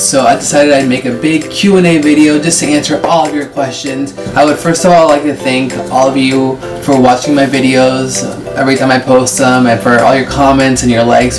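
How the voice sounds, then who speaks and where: A man in his late twenties answering viewer questions in a casual vlog, chatty and explaining things step by step. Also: mid-range voice. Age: 20s. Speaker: vlogger and flight attendant. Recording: distant mic with loud music behind. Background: music.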